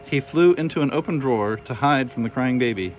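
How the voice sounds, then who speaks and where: A man reads a children's story aloud as narrator. His mid-pitched voice is expressive, and faint music plays along with it. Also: a little fast. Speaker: storybook reader.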